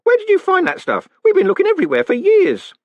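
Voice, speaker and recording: An eager old postman for a fantasy audiobook. The voice is high and swoops up and down, folksy and deferential, full of excitable chatter. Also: brisk, dry recording, lo-fi sound, heavily acted. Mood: excited. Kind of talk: audiobook character voice.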